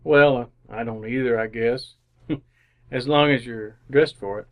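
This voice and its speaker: A man's voice: medium pitch, fairly even intonation, measured pace, natural voice. A man acting in an old-time style radio drama as a bashful, folksy young married man who stammers and hesitates. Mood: awkward, shy.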